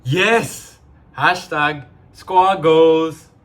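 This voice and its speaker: A man's voice: a little high, drawn-out words. Man playing cocky, flirty Filipino jokester. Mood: playful, teasing. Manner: cocky, playful. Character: boastful, flirty, jokey male IT specialist. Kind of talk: amateur dramatic reading. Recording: faint background noise.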